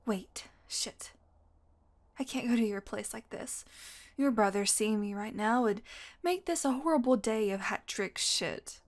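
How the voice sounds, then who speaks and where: Woman acting a wry, slow-talking female vampire. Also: on the high side. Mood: strained, urgent. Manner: sardonic, teasing, casual, swearing. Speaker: audio roleplay voice actress. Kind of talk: intimate audio roleplay acting.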